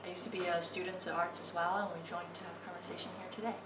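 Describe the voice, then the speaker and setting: Quick-talking woman from China, echoey room. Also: on the low side, level tone, a bit bright, distant mic. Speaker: former student, guest in discussion. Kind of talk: casual introduction and chat. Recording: echoey, noisy room, off mic.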